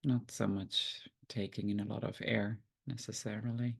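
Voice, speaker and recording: A man guiding a meditation, giving gentle, unhurried instructions with long pauses. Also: lowish voice, little pitch movement, gravelly, husky. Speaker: meditation guide. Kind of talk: guided meditation.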